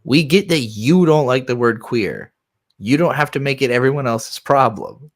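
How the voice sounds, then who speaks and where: A man's voice: medium pitch, lively intonation, normal speed. Casual, rambling man reacting on stream. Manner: conversational, rambling, sweary, acts out imagined replies. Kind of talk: casual unscripted reaction commentary.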